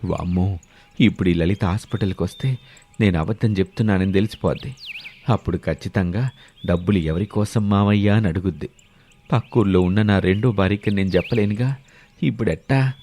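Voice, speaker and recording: A man voicing a scheming, fawning middle-aged village uncle in an animated moral story, coaxing others and muttering to himself. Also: on the low side, a little fast, a little hiss or hum, pitched below the performer's own voice, natural voice. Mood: worried muttering.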